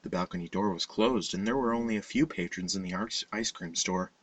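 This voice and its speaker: A man reading a scripted horror story as narrator, in a measured, dramatic storytelling manner. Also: low voice, dry recording, recorded from a distance. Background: music.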